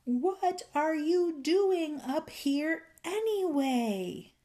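A woman's voice: high-pitched, big pitch swings, moderate pace, a little mellow, pitched above the performer's own voice. A woman voicing an indignant, bossy male fairy for a children's story, in an exclamatory, scolding manner. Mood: shouting, exasperated.

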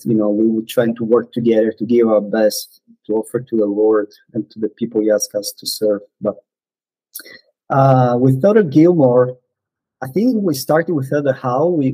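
Man, non-native english speaker, mellow tone, dry close sound, hesitant with fillers. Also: mid-range voice, no echo, low-quality recording. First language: not English. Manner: hesitant, many fillers and restarts. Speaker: podcast guest, former missionary. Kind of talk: casual reminiscing interview.

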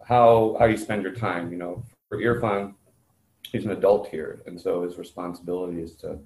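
Deep-voiced man explaining, echoey room. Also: steady tone, moderate pace, a little mellow. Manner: clarifies and explains for others. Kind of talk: explanatory interview talk. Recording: roomy echo, faint background noise.

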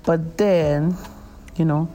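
A woman's voice: low voice, steady tone, slow, dark tone, slight background noise. A young Nigerian woman with a Nigerian accent, hosting a podcast in a chatty, self-mocking way, often hesitating with fillers. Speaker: podcast host. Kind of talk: casual chat.

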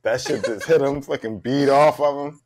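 A man's voice: medium pitch, moderate pace, darkish tone. A man hosting a comedy podcast, talking in a loose, joking, slangy way. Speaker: podcast host. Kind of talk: casual comedic chat.

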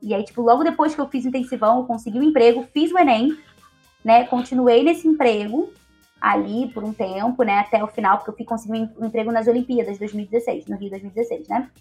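A Brazilian woman in her twenties, a guest telling her own story in a relaxed, chatty way. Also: on the high side, fast talker, soft background music. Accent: Brazilian Portuguese. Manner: chatty, leans on tag questions. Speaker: interview guest. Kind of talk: casual personal storytelling.

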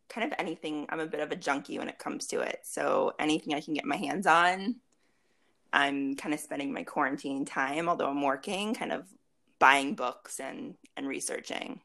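A woman in her late twenties speaks as a guest in a relaxed interview, her voice low and marked by vocal fry. She talks easily and at length, with frequent fillers, restarts and small laughs. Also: moderate pace. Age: 20s. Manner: chatty, laughs often, many fillers and restarts. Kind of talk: casual video chat interview.

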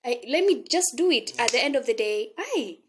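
A young woman with a Kenyan accent chatting casually as a podcast guest, playful and expressive, using slang and bits of Swahili. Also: a little high, moderate pace, noisy background. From Africa. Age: young adult. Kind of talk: casual chat.

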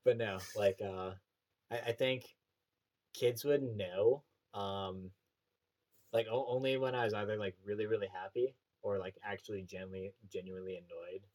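A young man with a Canadian prairie accent, chatting casually on a podcast. His voice sits low, and his talk wanders through fillers and restarts. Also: steady tone, moderate pace, a little mellow. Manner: rambling, many fillers and restarts. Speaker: teacher.

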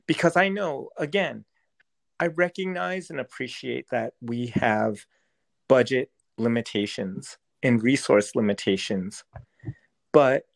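A man's voice: medium pitch, gravelly. A man whose first language is Cantonese, speaking as a school district educator in an interview, earnest and often restarting as he searches for words. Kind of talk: thoughtful interview answers.

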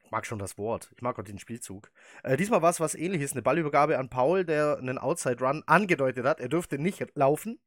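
A man's voice: medium pitch, expressive, a little fast, no echo. A man in his thirties hosting a casual football podcast, chatty and opinionated. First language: German. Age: 30s. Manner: chatty, opinionated, laughs easily. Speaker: podcast host.

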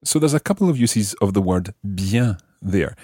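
Male language tutor: low, slightly rough voice, close dry sound, didactic, repeats key phrases. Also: expressive, moderate pace, dark tone, dry recording. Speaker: language lesson presenter. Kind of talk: explaining French grammar phrase by phrase.

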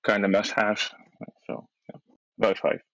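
A young man with a low voice, whose first language is Dutch, chats as a podcast guest. He often restarts his sentences and laughs softly between thoughts. The recording is dry and close. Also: little pitch movement, moderate pace, dry recording, poor audio. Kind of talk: casual chat.

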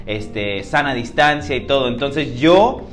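A man's voice: mid-range voice, animated, measured pace, fairly bright tone, faint music behind. A man hosting a podcast, talking in a loose, rambling way with many fillers and slang. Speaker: podcast host. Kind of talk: casual conversation.

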